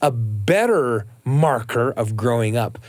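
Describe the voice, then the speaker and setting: A man's voice: mid-range voice, animated, takes it slowly, darkish tone, no room sound. A man hosting a podcast in an earnest, reflective, conversational way, partly from a script. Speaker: podcast host.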